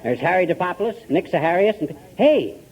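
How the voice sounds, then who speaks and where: An actor playing a blustery, boastful, folksy middle-aged husband in 1940s radio comedy style. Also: male voice, high-pitched, very animated, fairly quick, husky, muffled recording, some chatter behind, exaggerated voice. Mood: proudly boastful. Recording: noisy 1940s radio recording, audience behind.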